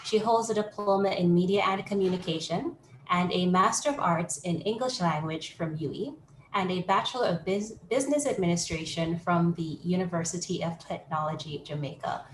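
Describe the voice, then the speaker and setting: Female seminar host, formal intro of credentials; lower voice, roomy sound, faint hiss. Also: normal speed.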